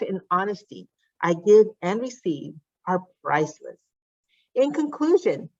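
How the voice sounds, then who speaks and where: A woman announcer reading out names and written messages in a formal, deliberate, scripted ceremony style, enunciating as she goes. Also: medium pitch, no echo, lo-fi sound.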